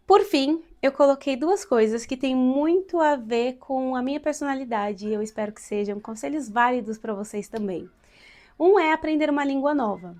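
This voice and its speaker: Brief: late-twenties São Paulo woman, bright-pitched and chatty, encourages her viewers directly. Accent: São Paulo Brazilian Portuguese. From São Paulo, Brazil. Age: late 20s. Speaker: vlogger and Portuguese teacher. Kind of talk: casual advice vlog.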